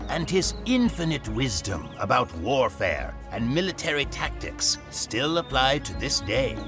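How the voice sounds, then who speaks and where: A solemn mentor of war in a strategy game. His speech is formal and instructive, addressed to a commander, with lively rises and falls in pitch. Music plays clearly behind him. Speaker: narrator and tutor. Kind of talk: scripted game cutscene narration.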